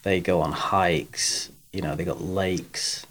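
A man's voice: sits low, fairly even intonation, takes it slowly, a little hiss or hum. A man in his thirties from England, a golf coach talking casually as a podcast guest, with many fillers and restarts. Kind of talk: casual interview.

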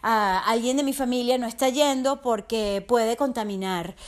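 A slightly hoarse woman speaking as a coach in an earnest, heartfelt motivational podcast monologue, often checking in with the listener. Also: fairly high pitch, normal speed. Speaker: resilience and fitness coach.

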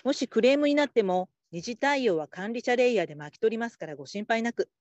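A woman playing a patient, supportive call-centre supervisor in a radio drama, calm and politely instructive. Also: fairly high pitch, quick pace, dry recording, dull audio, close to an everyday voice. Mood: composed, firm. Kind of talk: Japanese radio drama acting.

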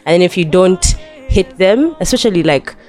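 A woman's voice: on the low side, animated, normal speed, darkish tone, soft background music. A young woman guest on a podcast, chatting casually, with a stuffy nasal voice from a cold and frequent laughter. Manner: giggly, chatty, laughs often.

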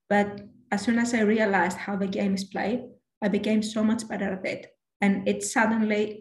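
Woman guest, low voice, flat even delivery, measured and self-correcting, echoey room. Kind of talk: careers advice interview.

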